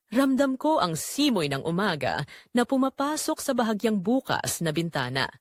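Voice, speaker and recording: A woman with a Filipino accent narrates a dramatic first-person romance story in a measured, expressive way. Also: lowish voice, no room sound, studio quality.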